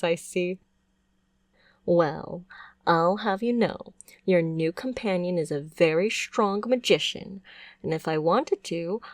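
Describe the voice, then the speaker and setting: Woman acting a slow, cooing, possessive witch. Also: fairly low pitch, no room sound. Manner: cooing, coaxing, softly condescending. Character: doting, possessive yandere witch, sweetly sinister. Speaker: ASMR voice performer. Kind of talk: ASMR roleplay, intimate monologue to the listener.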